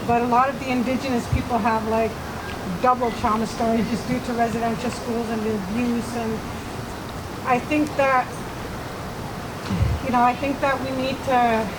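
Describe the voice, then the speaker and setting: A woman in her forties from Manitoba, slightly high in pitch, speaks haltingly and nervously, filling her pauses with ums. She talks at an outdoor rally, and passing traffic rumbles clearly through the recording. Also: flat delivery. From Manitoba, Canada. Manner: hesitant, nervous, many fillers. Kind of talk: personal testimony speech.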